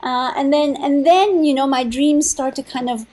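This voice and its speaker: A young woman guest, born in Thailand and raised in India and Nepal, speaks in a high voice. She chats from her home in a bubbly, rambling way, full of restarts and fillers. She sounds excited about her topic throughout. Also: moderate pace. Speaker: podcast guest, entrepreneur. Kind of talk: casual interview.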